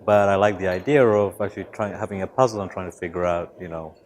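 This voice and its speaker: A man's voice: deep voice, fairly even intonation, quick pace, a little mellow, slight background noise. A man who was born in Malaysia and raised in the UK, a biology professor answering interview questions thoughtfully, with many fillers and restarts. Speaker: biology professor and lab head.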